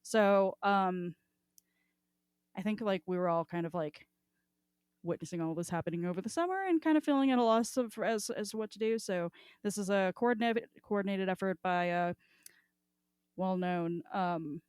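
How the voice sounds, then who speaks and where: Irreverent, clear-voiced woman podcast host. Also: medium pitch, normal speed, darkish tone, no room sound, studio quality. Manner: irreverent, sweary, informal. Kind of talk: casual chat.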